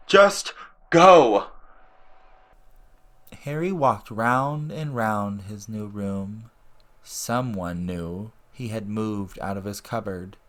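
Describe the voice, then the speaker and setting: A man reading a story aloud as narrator, in scripted audiobook style. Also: fairly low pitch. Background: music and ambience.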